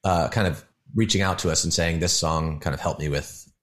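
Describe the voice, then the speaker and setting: A man, a musician calling in as a guest, talking in a relaxed, rambling way with many fillers over a call. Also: sits low, fairly quick. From United States. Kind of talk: casual interview over a call. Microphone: phone or video call.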